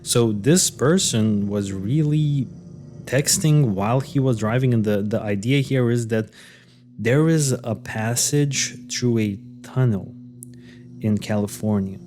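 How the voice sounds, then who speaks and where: A man's voice: mid-range voice, a little slow, darkish tone, faint music behind. A man co-hosting a podcast, recounting a case in loose, hesitant casual chat full of fillers and restarts. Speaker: podcast co-host. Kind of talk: casual conversational storytelling.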